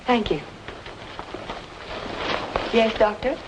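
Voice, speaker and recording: A woman's voice: on the high side, extremely slow. Troubled young woman, noisy old recording. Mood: worried, doubting. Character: polite, troubled young woman questioning those around her. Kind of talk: old-time radio noir drama acting.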